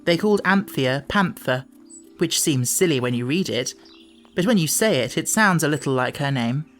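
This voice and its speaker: A man's voice: on the high side, expressive, moderate pace, a bit bright, faint music behind. Man narrating a children's storybook. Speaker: audiobook narrator.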